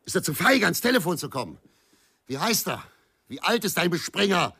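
An actor playing a stubborn, embittered, hard-drinking former policeman in a radio crime drama, blunt and confrontational, with a husky, gravelly voice. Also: high-pitched, very animated, fairly quick, sharp tone, exaggerated voice. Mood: aggressive, agitated.

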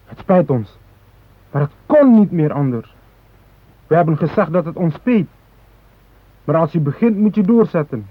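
Grave, determined hijacker, thin phone-like sound. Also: male voice, on the high side, animated, normal speed, pauses often, husky, no echo. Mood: grave, unyielding. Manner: insistent, declarative, solemn. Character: determined Moluccan hijacker, idealistic, grave, unyielding. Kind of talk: radio drama dialogue. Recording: dry, thin phone-like band.

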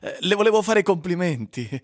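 A man's voice: high voice, lively intonation, a little fast. A man acting an eager, starstruck, jovial friend in a radio drama, effusive in his delivery. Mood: awed, gushing.

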